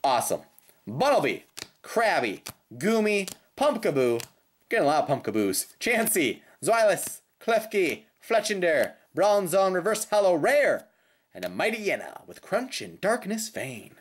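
A woman with a slightly raspy voice doing casual, playful unboxing commentary with sing-song call-outs as a host. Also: fairly low pitch, big pitch swings, a little slow. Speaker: host of trading card pack openings. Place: indoors at a tabletop.

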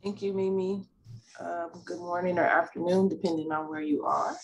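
A woman's voice: fairly low pitch, steady tone, normal speed. A woman in her forties from California, a school principal, introducing herself steadily on a video call with a headset.

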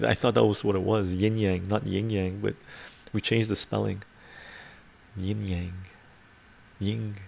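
An older man from Canada talks loosely and warmly at a relaxed pace, responding to chat messages one by one. His voice sits low with a rough, gravelly fry. It is close and clean through a clip-on mic in a book-lined home study. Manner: chatty, answers viewer comments aloud. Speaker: livestream host reading chat. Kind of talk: casual livestream chat. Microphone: lapel mic.

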